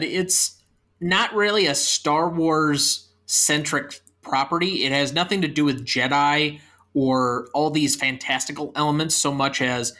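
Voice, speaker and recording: A young man hosting a podcast, talking about films in earnest, explanatory chat and reading out a brisk closing script. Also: fairly high pitch, bright, forceful tone. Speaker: podcast host.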